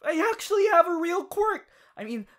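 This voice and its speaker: A woman voicing an affectionate, excitable young male anime hero turned bunny in an ASMR roleplay, with a soft, hesitant delivery. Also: very high voice, normal speed, full character voice. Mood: amazed, excited. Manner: hesitant, giggly, swinging from murmurs to excited exclamations. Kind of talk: ASMR audio roleplay, acted monologue.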